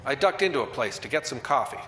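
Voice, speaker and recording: A man's voice: mid-range voice, animated, fast, sharp tone, slight background noise. A man playing a wisecracking, old-fashioned newspaper reporter in a radio drama, narrating with hard-boiled, slangy wit. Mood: wry. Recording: roomy echo, faint music behind.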